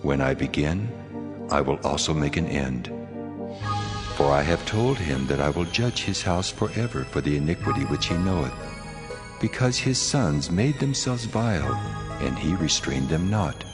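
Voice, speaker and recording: A man voicing a stern, commanding God in a dramatized audio Bible, speaking in slow, grave pronouncements. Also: very deep voice, lively intonation, over music. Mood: stern judgment.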